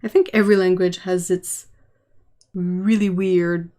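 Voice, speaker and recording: A woman hosting a casual livestream, chatting while she paints and answering viewer comments, sometimes dropping to a soft, quiet voice. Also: lowish voice, steady tone, unhurried. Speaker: livestream host.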